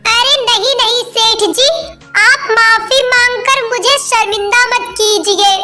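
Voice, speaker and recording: A woman's voice: very high voice, steady tone, takes it slowly, pushed and bright, faint music behind, full character voice. A woman voicing a poor, humble little bird in a children's cartoon, with a polite, pleading, sing-song manner. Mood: earnest, polite. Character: poor, humble little mother bird and her young daughter. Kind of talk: Hindi children's cartoon dialogue.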